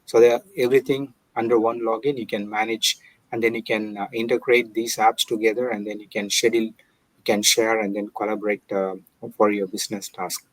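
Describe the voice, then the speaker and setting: A man's voice: fairly low pitch, level tone, brisk, dry recording, poor audio. A man giving prepared, explanatory tips in a methodical, step-by-step manner. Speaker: online business owner giving tips.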